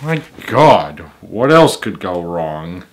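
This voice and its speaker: A man's voice: sits low, sing-song, slow pace. A man reading a children's story aloud as its host, with emphatic delivery and casual asides.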